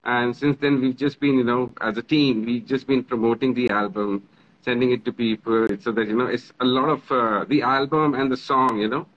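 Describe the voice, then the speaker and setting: A friendly man in his forties joins a video call from his phone, listing things off with plenty of fillers. His voice sits at a middling pitch, and the phone audio is slightly dull. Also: steady tone, normal speed. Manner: friendly, runs through lists with fillers. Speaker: violinist and composer. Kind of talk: casual video-call interview.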